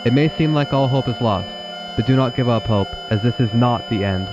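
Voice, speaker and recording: A man playing a solemn president giving a formal, measured emergency address to the nation in a radio drama. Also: medium pitch, natural voice. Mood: solemn reassurance. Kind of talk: scripted emergency broadcast address. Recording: dull lo-fi broadcast, loud noise.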